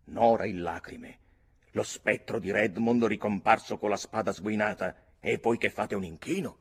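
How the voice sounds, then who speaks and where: A man voicing an easygoing country gentleman in a story, good-natured and cajoling. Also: fairly low pitch, normal speed, husky. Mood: bemused.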